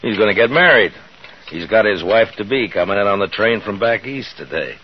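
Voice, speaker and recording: Deep-voiced frontier marshal. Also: male voice, expressive, fairly quick, sharp tone. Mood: easygoing, reflective. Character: calm, dry, fair-minded US marshal of old Kansas. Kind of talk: 1950s radio Western acting. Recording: noisy old 1950s radio recording.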